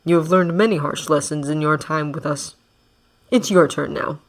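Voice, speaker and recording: A woman voicing a calm, manipulative man who speaks evenly and softly. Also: low voice, moderate pace. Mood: coaxing. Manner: even, soft, coaxing. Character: calm manipulative male villain, soft-spoken.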